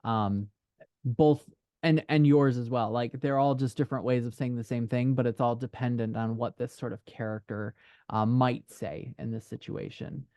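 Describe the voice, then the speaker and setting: A man working as a dialogue writing coach, talking casually one-on-one and thinking aloud in halting, hedged phrases. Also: mid-range voice, steady tone, dark tone, dry recording.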